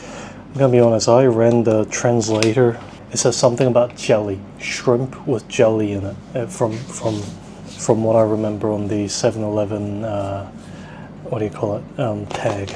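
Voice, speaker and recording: Rambling male vlogger with clear background noise. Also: lowish voice, little pitch movement, normal speed. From South Korea, at least partly. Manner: rambling, self-deprecating, thinking aloud. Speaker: vlog host. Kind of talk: casual food-tasting vlog chat. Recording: clearly noisy ambient background. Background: ambient noise.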